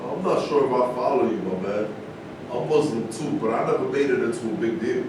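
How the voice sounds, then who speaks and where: A man's voice: brisk, natural voice. A man acting in a staged reading as a brash, unapologetic ex-boxer in conversational dialogue. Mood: argumentative. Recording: very echoey room, distant, rumbling noise.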